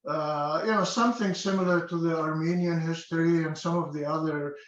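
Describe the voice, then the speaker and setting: An older man from Egypt talks in a slightly higher voice, hesitating with many fillers and restarts. The recording sounds roomy and a little dull. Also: fairly even intonation, fairly quick. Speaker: interview guest. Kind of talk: casual interview. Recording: echoey dull-sounding room.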